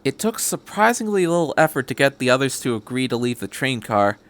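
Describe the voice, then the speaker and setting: Narrator: male podcast reader, medium pitch, scripted storytelling. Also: very animated, brisk, fairly bright tone. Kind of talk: scripted narration of fan fiction.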